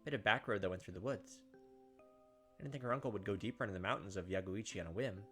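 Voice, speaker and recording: A man's voice: fairly low pitch, quick pace, fairly bright tone. A man hosting a playthrough, reading a visual novel aloud as emphatic dramatic narration with casual asides. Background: game music.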